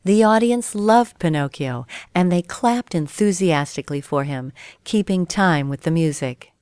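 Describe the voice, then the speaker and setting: A woman's voice: fairly low pitch, lively intonation, unhurried, darkish tone, smooth, dry recording. A woman reading a scripted children's story as a storyteller.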